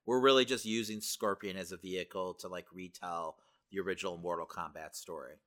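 Lowish, bright-voiced man asking questions. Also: fairly even intonation, fairly quick. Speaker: podcast co-host asking questions. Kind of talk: casual interview-like chat.

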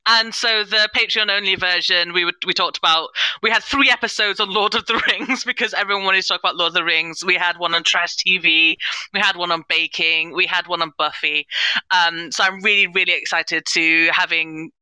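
A woman talks casually on a panel with real enthusiasm. Her voice is very bright and forceful, with a smooth, clear tone. The sound is close and dry. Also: fairly low pitch, fairly even intonation, brisk, no echo, low-quality recording. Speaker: panellist, audio drama producer and sensitivity consultant.

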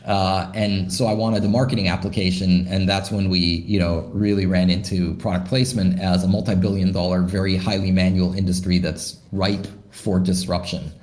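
A man answers interview questions in a slightly low voice, thoughtful and loose, full of "you know" and restarts. His voice carries a roomy echo, as if recorded in an open room. He sounds like a founder talking through his ideas. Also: flat delivery, normal speed, few pauses, darkish tone. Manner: thoughtful, with many fillers and restarts. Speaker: startup founder, interview guest.

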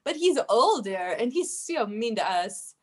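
Improv comedy little kid, very high voice, excitable and easily intimidated woman performing. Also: measured pace, breathy edge, exaggerated voice. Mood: whiny, intimidated. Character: whiny little kid playing basketball, timid and gleeful.

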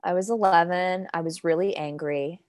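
A woman's voice: fairly low pitch, steady tone, normal speed, no echo, clean studio sound. A young woman poet from Denmark chatting in an interview, with lots of fillers and frequent laughs. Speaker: guest poet. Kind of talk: casual interview chat. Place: New York.

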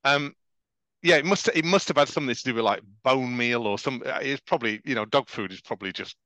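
A middle-aged man with a clearly bright, forward voice at an average pitch. He chats casually as a podcast co-host, often checking in with a "right?", and the sound is dry and close. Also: fast, no room sound. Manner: chatty, often tags phrases with "right?". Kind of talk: casual chat.

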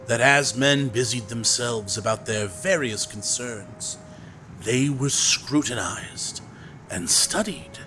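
An actor playing a suave young man in an audio drama, with a measured, grand, deliberate delivery. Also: medium pitch, fairly bright tone, slight background noise. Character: suave, theatrical young actor reading a novel aloud.

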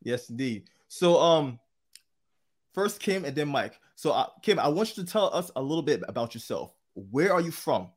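A man's voice: on the high side, sing-song, moderate pace, breathy edge. A man hosting an interview podcast, upbeat and chatty, with frequent fillers and restarts. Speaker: podcast host.

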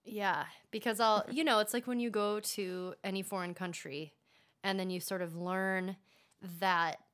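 A woman's voice: mid-range voice, fairly even intonation, a little slow, a bit bright, clean tone. A woman co-hosting a podcast in casual chat, playful and joking dryly. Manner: playful, dry jokes. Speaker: podcast co-host.

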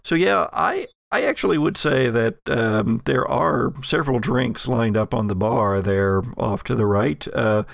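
A man narrating a tabletop horror game as game master, setting scenes theatrically with deliberate pauses. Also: mid-range voice, expressive, creaky, no echo, clean studio sound. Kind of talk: narrating an actual-play horror roleplaying game.

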